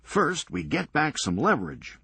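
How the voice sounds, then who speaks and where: Chipper reckless ringleader, brisk and lively, a bit raised in pitch, husky, read by a guy. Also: heavily acted. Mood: scheming. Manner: brisk, breezy, unbothered. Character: unflappable, cheerfully reckless male ringleader.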